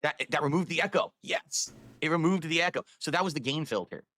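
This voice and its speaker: A man's voice: a little high, fairly quick, a bit bright, dry recording. A man live-streaming, rambling while he fiddles with his microphone and audio settings.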